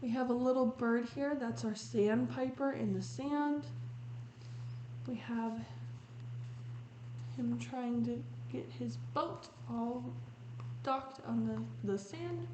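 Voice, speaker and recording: Slow-paced woman reading a storybook in echoey room. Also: fairly high pitch, muffled recording. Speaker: read-aloud host. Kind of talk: storybook read-aloud with commentary on pictures. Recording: roomy echo with faint noise.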